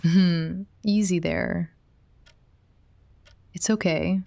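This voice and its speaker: A woman playing a possessive, quietly controlling therapist in an intimate ASMR roleplay, speaking softly and coaxingly. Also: lowish voice, fairly even intonation, gravelly, exaggerated voice. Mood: soothing, hypnotic. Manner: soft, unhurried, coaxing.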